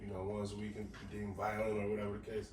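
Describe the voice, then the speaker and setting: A man in his thirties leading a circle's closing remarks, measured and reflective, often saying "basically". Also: fairly low pitch, monotone, a little mellow. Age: 30s. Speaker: circle facilitator. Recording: echoey room, distant mic, background noise.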